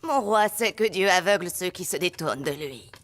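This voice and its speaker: Woman acting low-voiced, pious old woman. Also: lively intonation, moderate pace, close to an everyday voice. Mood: reverent, warning. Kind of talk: French animated Bible drama dubbing.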